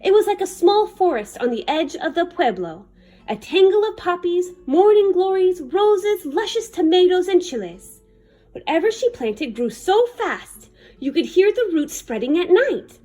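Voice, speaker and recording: A woman reading a children's picture book aloud in an expressive, dramatic manner. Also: very high-pitched, a little slow, faint music behind. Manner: animated, dramatic. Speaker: children's story reader.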